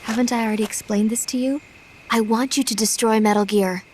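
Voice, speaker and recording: A woman's voice: a little high, fairly even intonation, brisk, a little mellow, slight background noise, natural voice. A woman voicing a grave, idealistic young psychic soldier in a video game, earnest and solemn. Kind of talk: dramatic video game voice acting.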